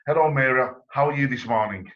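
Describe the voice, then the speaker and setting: A man's voice: mid-range voice, a little fast, highs cut off. A man from England hosting an online interview, enthusiastic and effusive, with rambling questions. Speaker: interviewer, channel host.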